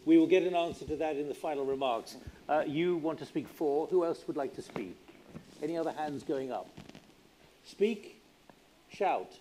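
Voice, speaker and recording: A man chairs a live debate in a packed theatre, calling out to the audience in a brisk, directive way. His voice sits a little high but sounds mellow, with a faint hiss behind it. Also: expressive. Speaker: debate moderator. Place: large theatre stage with audience.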